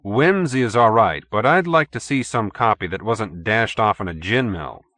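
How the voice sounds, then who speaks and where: A man's voice: on the low side, animated, normal speed, fairly bright tone. A man voicing an exasperated managing editor, brusque, bossy and skeptical, in an audiobook story. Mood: reproving.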